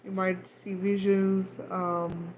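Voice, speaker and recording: A woman's voice: medium pitch, little pitch movement, takes it slowly, a little mellow, slight background noise, poor audio. A woman who grew up in Kenya, leading a livestream talk and guided healing in a loose, repetitive way, often checking in with "right?". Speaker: spiritual healer on a livestream.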